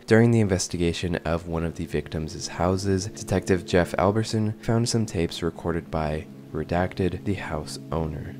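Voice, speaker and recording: A man's voice: deep voice, background noise. A young adult man giving scripted narration and commentary as a video host, close to a desk microphone in a small room at home. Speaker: host of horror video essay channel. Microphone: large desk microphone, close. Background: music.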